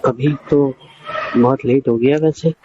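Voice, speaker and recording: A man's voice: mid-range voice, fairly even intonation, measured pace, dark tone. A man, a coaching teacher, talking casually in a private phone conversation on a muffled, noisy recording.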